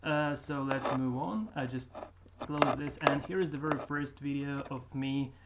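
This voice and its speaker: A man talks casually to his viewers as a YouTuber. He is halting and often restarts his sentences, and his voice sits mid-range. Clear background hiss runs under the recording. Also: mid-range voice, steady tone. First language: Russian. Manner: halting, with frequent restarts and filler. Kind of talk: casual vlog chat.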